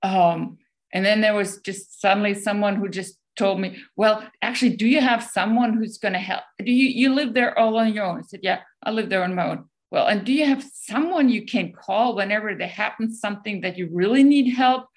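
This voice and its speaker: A woman's voice: medium pitch, normal speed. A woman with a German accent, a guest speaker answering questions in a casual, hesitant way, full of ums and restarts.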